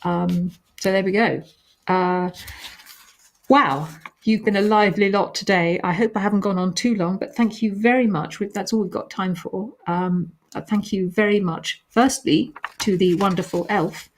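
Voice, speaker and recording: A woman with a calming voice hosting a live question-and-answer stream, reading questions aloud and answering them with gentle hesitations. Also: medium pitch, normal speed. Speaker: author hosting a live reader Q&A.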